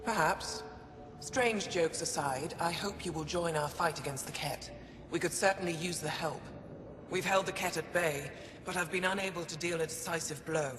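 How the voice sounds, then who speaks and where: An actor playing a weary, steadfast alien resistance commander in a video game, speaking in a measured, formal, earnest way. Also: male voice, a little high, lightly acted. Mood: formal, welcoming. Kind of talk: dramatic game dialogue. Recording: reverberant with background ambient noise.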